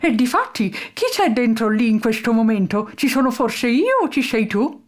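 A rowdy, eccentric old toothless man who lives among junk, exclaiming in rhymes, as a woman puts him on. His voice sits a little high and swings widely up and down. A storybook reading. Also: expressive, normal speed, close to an everyday voice. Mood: jovial. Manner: boisterous, rhyming, exclaiming.

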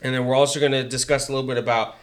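Energetic man hosting a podcast. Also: very fast. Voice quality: higher voice than his co-host. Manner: energetic, talks in bursts with frequent restarts. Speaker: podcast co-host. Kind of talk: casual political podcast chat. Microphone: headset mic with headphones.

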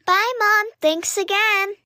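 A woman's voice: very high voice, fairly even intonation, slow pace, sharp tone, dry recording, voice pushed up, exaggerated voice. A woman voicing an eager, childlike young animal cub in a children's story. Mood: excited. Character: excitable young wild animal cubs.

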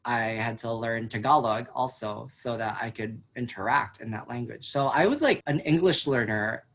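A woman from the Philippines, a teacher whose first language is Chavacano, talks in an easy conversational way. Her voice sits very low for a woman. She fills pauses with ums and laughs often. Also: breathy edge. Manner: chatty, with frequent fillers and laughs. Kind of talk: casual conversation.

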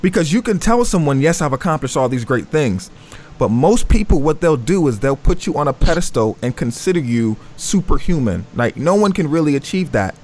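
A man's voice: a little high, sing-song, a little fast, darkish tone, slight background noise. A man hosting a panel talk, reflective and affirming as he sums up his guests' points. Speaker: host.

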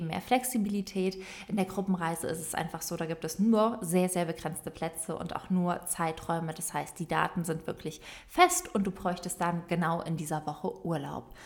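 Young female podcast host, informal direct address, slightly low voice. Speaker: podcast host and volunteer travel organizer. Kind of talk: solo podcast talk to listeners.

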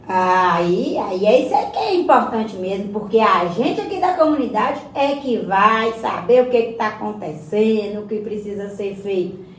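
A woman's voice: medium pitch, lively intonation, moderate pace, slight background noise, close to an everyday voice. A woman playing a folksy, outspoken community woman in a radio soap, speaking emphatically and colloquially. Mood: approving, insistent. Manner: colloquial, emphatic, seeking agreement with tag questions. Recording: roomy, echoing voice recording.

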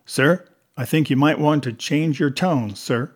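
A man's voice: a little high, unhurried. Man playing a defiant, sardonic police detective. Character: defiant, self-controlled male police detective, sardonic with superiors.

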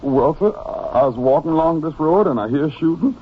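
Casting: humble Southern US farmhand suspect, weary and frightened, husky, swooping delivery, old radio drama. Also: male voice, on the high side, expressive. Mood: anxious, insistent. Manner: deferential, halting, pleading. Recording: muffled old radio recording.

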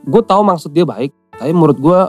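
Animated young man telling a story. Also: a little high, fast, soft background music. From Central Java, Indonesia. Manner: animated, slangy, acts out quoted voices. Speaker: guest actor telling his story. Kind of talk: casual podcast chat, storytelling.

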